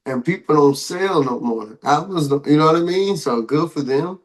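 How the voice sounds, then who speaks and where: A man's voice: a little high, lively intonation, normal speed. A man co-hosting a casual podcast, giving slangy, opinionated takes on hip-hop and pop culture news with exaggerated asides. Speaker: podcast co-host.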